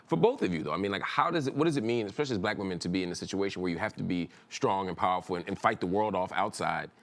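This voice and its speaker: A man hosting a talk show, expressive and upbeat as he leads the discussion. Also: deep voice, very fast. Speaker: host. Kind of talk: talk show hosting, reading viewer comments.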